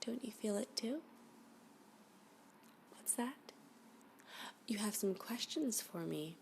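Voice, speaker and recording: A woman playing a flirty, obsessive, unhinged admirer in an intimate ASMR roleplay, coy and rambling, often slipping into breathy half-whispers. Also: mid-range voice, lively intonation, slow, darkish tone, slight background noise. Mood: sultry, smitten, then flattered, flirty. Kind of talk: ASMR roleplay, intimate one-sided dialogue.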